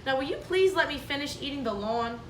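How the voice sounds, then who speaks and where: Woman doing a calm, wise mother sheep. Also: high voice, brisk, slight background noise, voice pushed up. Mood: patient. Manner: calm and patient. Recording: echoey room, mic at a distance.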